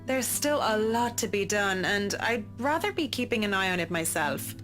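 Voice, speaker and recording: A woman's voice: medium pitch, expressive, normal speed, fairly bright tone, faint music behind, lightly acted. A woman playing a modest, reserved interior decorator in a visual novel, speaking formally and deferentially to a client. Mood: courteous, reserved.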